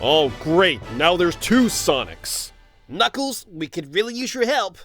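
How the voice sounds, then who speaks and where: A man's voice: high voice, sing-song, measured pace, a bit bright, no echo, soft background music, full character voice. A man acting a cocky, heroic young hedgehog in a fan audio drama, breezy and confident.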